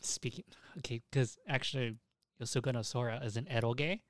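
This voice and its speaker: A man's voice: mid-range voice, fairly quick, no echo. A young adult man hosting a casual podcast, chatting in an enthusiastic, informal way with plenty of swearing. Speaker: podcast host.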